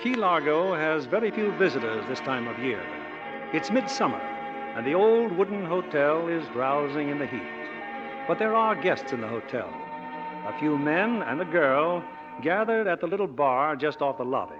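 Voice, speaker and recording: A man hosting a 1940s radio theatre with formal, measured, theatrical scripted narration. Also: a little high, sing-song. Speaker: radio theatre host and producer. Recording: dull vintage radio recording with music.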